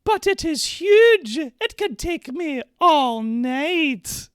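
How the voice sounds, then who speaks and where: A demure reptilian maid from a fantasy stage farce, played by a man in falsetto. The voice sits very high, prim and servile, protesting with exaggerated flustered politeness. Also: sing-song, extremely slow, no echo, studio quality, exaggerated voice. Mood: awed.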